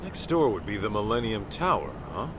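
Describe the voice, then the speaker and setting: A man playing an easygoing, gruff middle-aged private detective in a video game, talking in a casual way. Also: lowish voice, big pitch swings, a little fast, noisy background. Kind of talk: video game cutscene acting.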